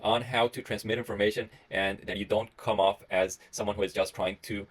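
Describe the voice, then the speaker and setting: A man in his thirties from Costa Rica with a Costa Rican Spanish accent, a medical resident giving an earnest, rambling talk to camera with many pauses and restarts. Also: fairly low pitch, steady tone. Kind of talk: solo reflective talk to camera.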